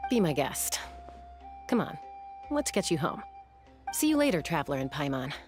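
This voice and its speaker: A self-assured older sister in a video game. Her voice sits fairly low, measured and unruffled, over faint music. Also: sing-song. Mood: reassuring.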